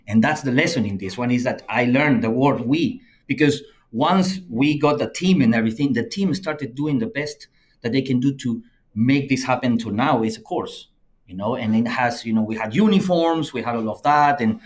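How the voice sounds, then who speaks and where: Peruvian-accented man telling stories. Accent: Peruvian Spanish. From Peru. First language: Spanish. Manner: lively anecdotal telling, acts out quoted friends in a higher voice. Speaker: podcast guest, company CEO. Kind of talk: conversational storytelling interview.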